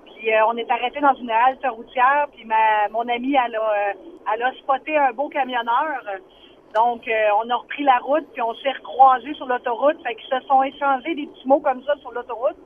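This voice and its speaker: A woman's voice: fairly high pitch, fairly even intonation, a little fast. A woman with a Quebec French accent calling a radio show by phone to tell a personal story casually. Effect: phone line. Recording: noisy band-limited phone call.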